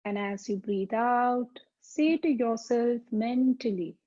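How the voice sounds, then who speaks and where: A woman teaching yoga as a slow guided meditation, speaking calmly and deliberately with soothing pauses. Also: fairly high pitch. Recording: dull, muffled lo-fi sound.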